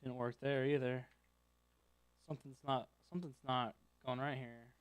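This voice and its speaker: A man's voice: mid-range voice, little pitch movement, normal speed, clear, resonant tone, no room sound. Man muttering through code, voice wearing thin. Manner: thinks aloud in short fragments while debugging. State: starting to lose his voice. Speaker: streamer coding live. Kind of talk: live-stream coding commentary, muttering to himself.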